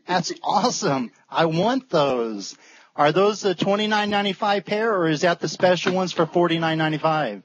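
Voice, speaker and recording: A man's voice: high voice, normal speed, slight background noise. A man chatting casually and joking on a late-night video call, sometimes stumbling over his words. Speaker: fellow speaker on a video call. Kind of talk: casual chat. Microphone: webcam on a video call. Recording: dull lo-fi video call audio.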